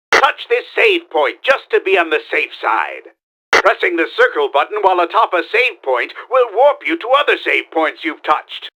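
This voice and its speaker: A man playing a pompous middle-aged mad scientist villain in a video game, theatrical and exclamatory, with a gravelly, forceful voice. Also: sits high, exaggerated voice, low-quality recording. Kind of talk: video game tutorial voice lines.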